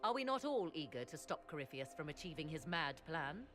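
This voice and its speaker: A woman playing a proud, learned witch in a fantasy game, with formal, archaic and measured speech. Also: deep voice, big pitch swings, fairly bright tone. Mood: urgent, insistent. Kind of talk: dramatic fantasy game acting. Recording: music behind the voice.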